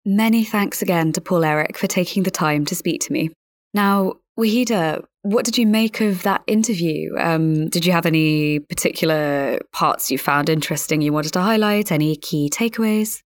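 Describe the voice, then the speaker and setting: Female podcast host, low-pitched and close-recorded, polite, conversational, feeling her way through questions and comments. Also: normal speed, no echo. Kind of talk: podcast interview and discussion.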